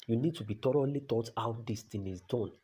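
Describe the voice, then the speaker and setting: A man's voice: mid-range voice, a little fast, warm tone. A Nigerian man with a Nigerian accent giving a blunt, repetitive tutorial as an online career coach. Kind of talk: talking-head tutorial.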